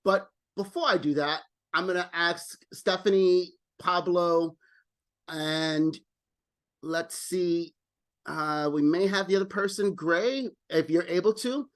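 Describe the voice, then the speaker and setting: High-voiced man hosting a video call. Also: fairly even intonation, slow pace, fairly bright tone. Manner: friendly, repeats words when thinking. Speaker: club president, meeting host. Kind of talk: casual online meeting chat.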